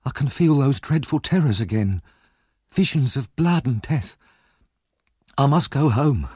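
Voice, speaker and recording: A man's voice: mid-range voice, lively intonation, unhurried, warm tone, slightly rough, dry recording, clean studio sound, voice pushed up. A man voicing a frightened, fatalistic young woman in an audiobook, anxious and pleading. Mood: fearful.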